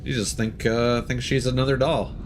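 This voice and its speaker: A man's voice: mid-range voice, steady tone, normal speed, slight background noise. A man giving casual live gameplay commentary as a streamer, thinking aloud as he plays. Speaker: game streamer.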